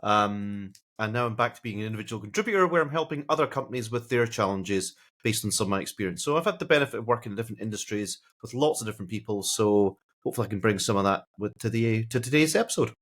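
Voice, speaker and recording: Fast-talking Scottish man. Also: lowish voice, animated, fairly bright tone. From Scotland. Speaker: podcast co-host, IT consultant. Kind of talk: casual podcast introduction.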